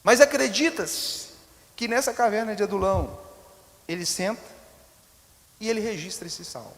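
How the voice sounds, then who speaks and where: A man's voice: high voice, normal speed, a bit bright. A Brazilian man in his forties with a Brazilian Portuguese accent, preaching a sermon as pastor and talking to the congregation with storytelling and rhetorical asides. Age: 40s. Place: church sanctuary. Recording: long reverb of a church hall.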